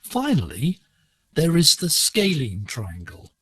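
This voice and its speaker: A man presenting a scripted educational lesson on shortwave digital radio, explaining in a measured, deliberate way. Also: on the high side, huge pitch swings, music in the background. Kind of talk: scripted instructional narration.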